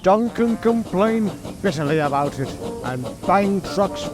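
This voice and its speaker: A man's voice: high-pitched, animated, unhurried. A man reading scripted story narration as the storyteller of an audio drama. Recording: music under the voice.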